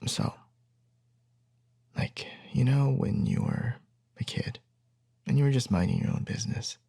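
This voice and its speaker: Man playing a gentle, gravelly, husky-voiced boyfriend. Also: medium pitch, huge pitch swings, full character voice. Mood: thoughtful, soothing. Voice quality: soft, breathy, husky, often near a whisper. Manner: slow, hesitant, tender, with long pauses. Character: gentle, reassuring young boyfriend comforting his partner. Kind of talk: ASMR boyfriend roleplay, intimate and soothing.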